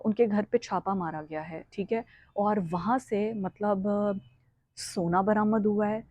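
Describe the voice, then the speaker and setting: A woman's voice: mid-range voice, mellow. A woman with a Pakistani accent giving current affairs commentary as a vlogger, in an emphatic way. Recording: echoey room, slightly dull sound.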